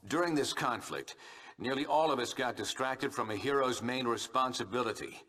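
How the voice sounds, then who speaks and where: A man's voice: medium pitch, moderate pace, fairly bright tone, close to an everyday voice. An actor playing a noble male superhero giving an earnest speech in a game. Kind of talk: scripted public speech in a game.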